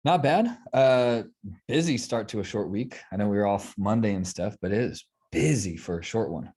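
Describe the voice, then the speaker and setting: A man's voice: on the low side, moderate pace, warm tone, breathy edge. A man co-hosting a podcast, speaking in a laid-back, informal, chatty way. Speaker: podcast co-host. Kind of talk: casual chat.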